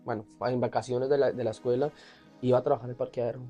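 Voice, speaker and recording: A man's voice: mid-range voice, fairly even intonation, fast talker, warm tone, soft background music. A man with a Colombian accent telling his personal story casually and candidly as an interview guest. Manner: candid, often laughing as he talks.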